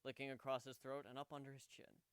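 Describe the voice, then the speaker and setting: A man's voice: medium pitch, flat delivery, fast talker, clear, resonant tone, no echo. A man narrating a scripted story as a dramatic reading.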